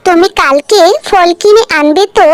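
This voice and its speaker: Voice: very high voice, normal speed. An actor voicing a naive, hungry little boy from a poor family in a children's cartoon story. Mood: eager, pleading.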